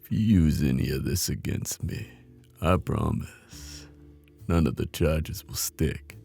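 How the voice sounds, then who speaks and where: Male actor as smooth menacing mob boss, heavy vocal fry, swooping intonation, teasing drawl, intimate audio roleplay. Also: lively intonation, slow pace, dark tone, faint music behind, full character voice. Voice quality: deep, gravelly. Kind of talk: boyfriend ASMR roleplay, intimate scripted monologue.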